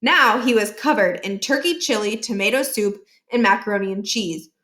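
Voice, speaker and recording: A woman reading a children's book aloud in an expressive storytelling style. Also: medium pitch, normal speed. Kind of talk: expressive read-aloud narration.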